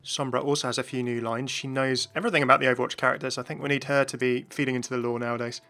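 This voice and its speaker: A man's voice: medium pitch, level tone, very fast, sharp tone, soft background music. A man hosting a video about game voice lines with enthusiastic commentary. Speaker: video host.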